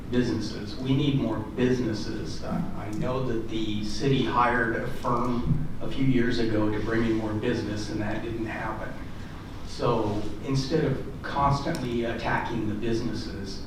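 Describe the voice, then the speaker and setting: A man's voice: lowish voice, distant mic. A man addressing a public meeting as a resident, making his comment in a blunt, critical way. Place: council chamber at city hall. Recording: echoey meeting room from afar, clear noise.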